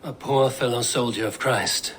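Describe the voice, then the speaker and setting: An actor playing a grim Viking raider who speaks gruffly, bluntly and sternly. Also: male voice, medium pitch, moderate pace, a bit bright, slight background noise, natural voice. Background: music.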